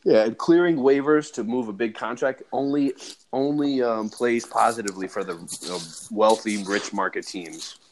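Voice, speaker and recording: A young man hosting a podcast, speaking briskly and joking with friends. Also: mid-range voice, low-quality recording. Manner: brisk and joking, swears casually. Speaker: podcast host. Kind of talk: casual chat.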